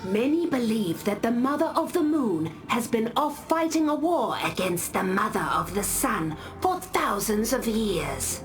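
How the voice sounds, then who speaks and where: A solemn, devout warrior woman in a video game. Her voice sits a little high and moves expressively as she tells lore slowly and gravely. Music is clearly heard behind her. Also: big pitch swings. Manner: grave, deliberate storytelling. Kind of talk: scripted game character monologue.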